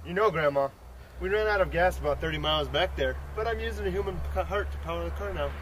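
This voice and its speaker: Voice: medium pitch, fast, natural voice. A performer playing a doting, melodramatic teenage devil grandson in a comic stage play, earnest and exaggerated. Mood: affectionate. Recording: noisy echoey room, distant mic.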